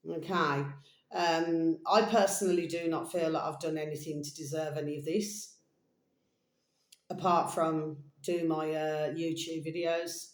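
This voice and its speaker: A tired woman video blogger chatting casually and ramblingly to her viewers, pausing often and repeating pet endearments. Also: low-pitched, fairly even intonation. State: mentally tired, drained. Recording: echoey, roomy sound.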